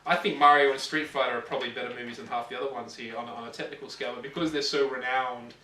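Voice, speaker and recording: Bright-voiced man, echoey distant mic. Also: medium pitch, fairly even intonation, rapid-fire. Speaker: co-host of a gaming show. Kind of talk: casual chat and opinion. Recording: roomy echo, distant mic, faint noise.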